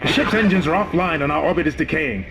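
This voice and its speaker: An actor playing an urgent, commanding military officer on a doomed spaceship in a video game, giving clipped briefings. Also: male voice, on the high side, fast talker, heavy background noise, natural voice. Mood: tense, commanding.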